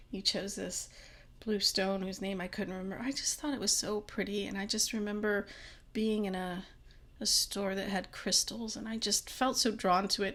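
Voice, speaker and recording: A woman's voice: mid-range voice, a bit bright. A young woman giving a pick-a-card tarot reading, talking in a rambling way with many fillers and restarts, her voice a little worn. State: slightly losing her voice. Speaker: tarot reader.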